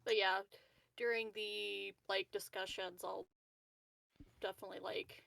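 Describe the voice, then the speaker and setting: Female tabletop player, noticeable vocal fry, informal stop-start chat, dry close mic. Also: medium pitch, flat delivery, fairly bright tone, no room sound. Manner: halting, informal. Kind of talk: casual game chat.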